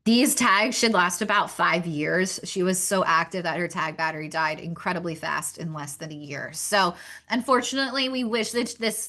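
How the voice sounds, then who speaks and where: A woman's voice: lowish voice, sharp tone. A young woman from Pennsylvania presenting shark research in a webinar, earnest and stumbling on word restarts. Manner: earnest, frequent stammered restarts.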